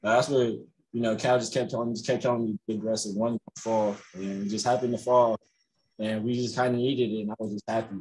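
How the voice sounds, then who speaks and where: Fast-talking young man, husky voice. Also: on the low side, low-quality recording. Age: young adult. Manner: quick, relaxed, many "you know" fillers. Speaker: college basketball player. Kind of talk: postgame press conference answers. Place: indoors in front of a logo backdrop. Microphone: video call.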